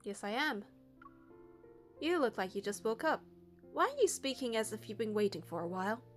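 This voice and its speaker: High-voiced woman narrating a story over music. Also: lively intonation, moderate pace. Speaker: player narrating a game playthrough. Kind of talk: expressive story narration, read aloud.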